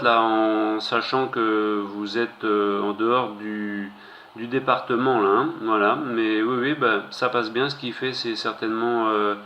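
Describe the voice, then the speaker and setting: A man's voice: mid-range voice, sharp tone. Man on dull, faintly noisy radio link. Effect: two-way radio transmission. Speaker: amateur radio operator. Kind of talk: casual radio contact chat.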